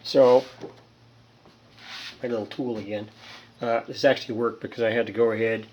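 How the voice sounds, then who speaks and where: Male hobbyist repairer, mid-range voice. Casual hands-on demo delivery, halting and thinking out loud. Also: medium pitch, steady tone, lo-fi sound, a little hiss or hum. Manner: rambling, halting, thinking aloud. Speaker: hobbyist radio repairer, presenter. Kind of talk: casual hands-on demonstration talk.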